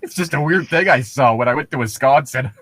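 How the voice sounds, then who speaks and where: A man's voice: mid-range voice, brisk, fairly bright tone, slightly rough, no echo, studio quality. A man reading a story aloud mockingly, with casual, sarcastic joking and some swearing. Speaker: co-host of a comedic story reading.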